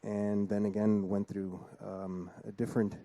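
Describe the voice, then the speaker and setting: A man from Switzerland speaking as a panelist on a conference panel, measured and with frequent ums. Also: on the low side, little pitch movement, mellow.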